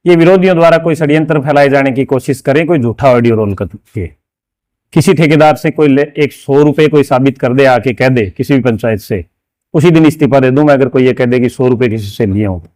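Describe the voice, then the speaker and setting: A man's voice: mid-range voice, a little fast, darkish tone, no echo. A man, a local official giving emphatic, repetitive denials in an interview. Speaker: local council head. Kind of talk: interview statement.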